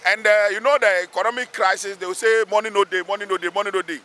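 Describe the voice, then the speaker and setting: A man's voice: sits high, fairly even intonation, fast talker, sharp tone. A man with a Ghanaian accent speaking emphatically as a local assemblyman in an outdoor interview, repeating phrases for weight. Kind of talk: spoken interview, pleading advocacy. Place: outdoors beside a road underpass.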